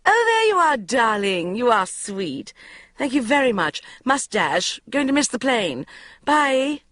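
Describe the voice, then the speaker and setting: A woman doing a shrill, gushing mum. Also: big pitch swings, unhurried, fairly bright tone, dry recording, studio quality, heavily acted. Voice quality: high-pitched and hysterical. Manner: breathless gushing, wheedling. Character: middle-aged British mother, flighty, gushing.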